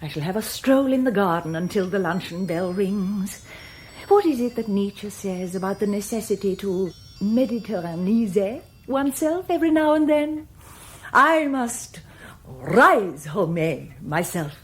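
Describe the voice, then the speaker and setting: A woman's voice: mid-range voice, animated, measured pace, soft background music, close to an everyday voice. An actress playing an imperious, self-important middle-aged English society woman, theatrical and affected, in a radio comedy drama. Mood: gushing, affected.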